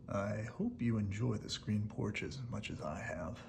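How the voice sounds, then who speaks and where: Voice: medium pitch, animated, measured pace, gravelly, noisy background. A storyteller voicing an old male wolf from a picture book, homesick, whiny and prone to tantrums, often half-whispered. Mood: wistful. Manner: pleading and plaintive.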